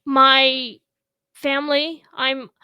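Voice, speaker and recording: A woman in her thirties from Russia, hosting a casual home chat show and talking in a slow, halting way with many pauses. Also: high voice, level tone, sharp tone, dry recording. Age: 30s. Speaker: host.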